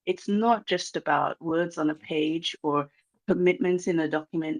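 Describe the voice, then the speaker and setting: A speaker from Malaysia with a Malaysian accent, giving an earnest, measured address over a video call, pausing often with um. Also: medium pitch, creaky, poor audio. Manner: earnest, measured, many um pauses. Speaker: guest speaker from a reconciliation organization. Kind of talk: formal address at a launch event.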